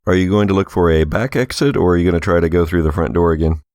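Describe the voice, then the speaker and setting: Very deep, gravelly man narrating a horror game. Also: very fast, a little mellow, dry recording, studio quality. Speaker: game master. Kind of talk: improvised narration of a tabletop horror roleplaying game.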